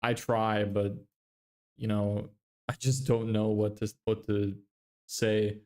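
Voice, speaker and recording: A man's voice: fairly low pitch, little pitch movement, slow, a little mellow. A young man from Lithuania with an American-sounding accent, chatting casually on a livestream, reading chat and swearing now and then, into a studio mic in a small bedroom. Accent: American-sounding English. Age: young adult. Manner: chatty, self-deprecating, reads chat aloud and swears casually. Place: small bedroom with a window, indoors. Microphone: large studio mic on a stand in front of him.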